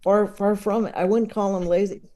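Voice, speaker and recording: A man speaking as an interview guest, casually discussing comedy in a halting, chuckle-prone conversational way. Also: high-pitched, fairly even intonation, mellow.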